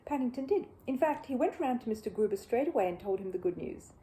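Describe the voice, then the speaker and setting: A woman reading a children's book aloud as an expressive storyteller. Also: on the high side, fast talker, low-quality recording. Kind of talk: expressive storybook narration with a casual greeting.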